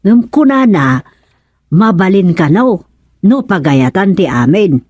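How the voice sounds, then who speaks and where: A woman's voice: medium pitch, sing-song, slow, a little mellow, dry recording. Woman acting insistent Ilocano villager, close dry sound. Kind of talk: Ilocano radio comedy-drama acting.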